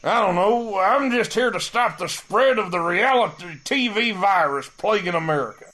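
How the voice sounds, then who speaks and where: A man acts out an argumentative soldier in an audio comedy. He is a skeptical know-it-all with a high-pitched voice. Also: animated, normal speed, bright, forceful tone. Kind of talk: comedic audio drama acting.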